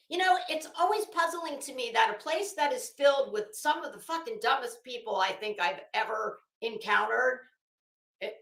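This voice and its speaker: A woman's voice: high-pitched, fairly bright tone, echoey room. A woman giving casual, opinionated commentary in a rambling, emphatic and blunt way, swearing freely. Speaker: online commentary host.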